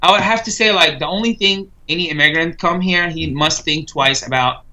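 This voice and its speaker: Egyptian male guest, bright tone, earnest, halting. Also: a little high, poor audio. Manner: earnest, halting, many fillers. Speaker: studio guest. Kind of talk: interview answers.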